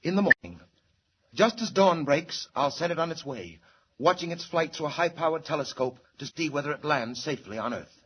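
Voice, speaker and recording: A devoted alien scientist and father in an old-time radio drama. His voice is high-pitched and husky, with an earnest, theatrical urgency. He sounds anxious and utterly serious. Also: big pitch swings, fairly quick, rough recording. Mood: earnest, intent.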